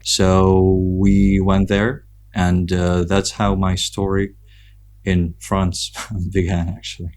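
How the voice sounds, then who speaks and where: A man from Ukraine with a Ukrainian accent, talking casually and reflectively as a podcast guest, pausing often as he thinks. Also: sits low, little pitch movement, darkish tone. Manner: hesitant, reflective, with frequent pauses. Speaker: podcast guest, photographer and content creator. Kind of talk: casual conversational interview.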